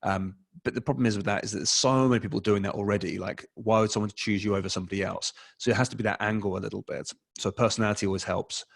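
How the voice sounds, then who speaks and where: An English man in his forties talks loosely on a video call, circling his points with ums and self-corrections. His voice sits a little low, picked up by a desk mic in a small home room. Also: fairly even intonation, fast, creaky, few pauses. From England. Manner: rambling, many fillers and restarts. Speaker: guest, advertising expert. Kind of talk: casual interview answer.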